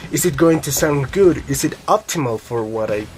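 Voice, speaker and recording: A man's voice: mid-range voice, animated, normal speed, a little mellow. Young man, Colombian Spanish accent, rambling vlog chat, casual swearing, faint music behind. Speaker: guitar vlogger. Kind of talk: casual rambling monologue to camera.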